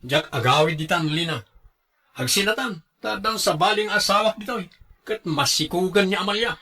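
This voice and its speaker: A high, forceful voice cuts through: a man acting a callous husband in an Ilocano radio drama. He is irritable and dismissive, with an Ilocano accent. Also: moderate pace. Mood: irritated, dismissive. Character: callous, irritable runaway husband who brushes off his wife.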